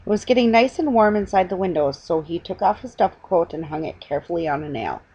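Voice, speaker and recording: Woman reading a kids story out loud, kinda low voice, bit halting, muffled lo-fi home recording. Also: animated, husky. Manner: halting reading with stumbles and self-corrections. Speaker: reader. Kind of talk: storybook read-aloud. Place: small living room at home, indoors. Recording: dull lo-fi webcam sound.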